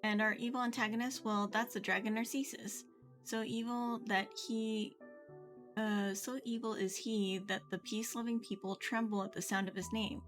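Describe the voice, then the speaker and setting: A woman's voice: on the high side, level tone, moderate pace, a bit bright. A woman streamer reading video game dialogue aloud expressively, with casual offhand remarks. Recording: music behind the voice. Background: video game music.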